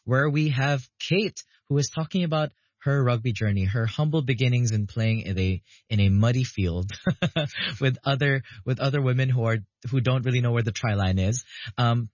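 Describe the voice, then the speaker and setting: A man's voice: medium pitch, darkish tone, no room sound, lo-fi sound. Friendly male radio host. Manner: friendly and joking, laughs easily. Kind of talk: radio talk show hosting. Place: radio studio. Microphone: studio mic.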